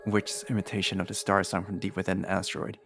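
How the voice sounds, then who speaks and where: Low, creaky-voiced man reading game narration. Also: monotone, fast talker, noisy background. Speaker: host reading a game aloud with a group.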